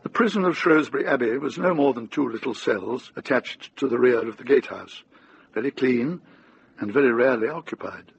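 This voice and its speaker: Male narrator, radio drama: creaky, raspy, measured storytelling, slightly dull sound. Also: mid-range voice. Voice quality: gravelly, husky.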